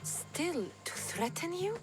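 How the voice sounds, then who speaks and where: An actress playing a bold, determined mercenary in a video game cutscene, with a firm, direct manner. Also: female voice, very high voice, sing-song, takes it slowly, music in the background, heavily acted, rough recording. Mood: concerned, resolute. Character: bold, commanding, determined female mercenary adventurer.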